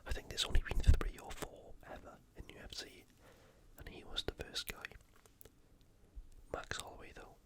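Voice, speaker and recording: Whispering ASMR host. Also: normal speed. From United Kingdom. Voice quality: whispered, unvoiced. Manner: casual, conversational. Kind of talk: ASMR fight preview commentary.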